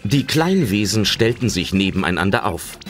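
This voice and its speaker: A man's voice: fairly low pitch, very animated, normal speed, over music. A man narrating a German children's audio drama as a dramatic scripted storyteller.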